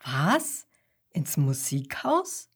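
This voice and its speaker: A woman voicing a calm, reassuring father koala in a children's story, with a patient manner. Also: low voice, unhurried, breathy edge, pitched below the performer's own voice, full character voice. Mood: astonished.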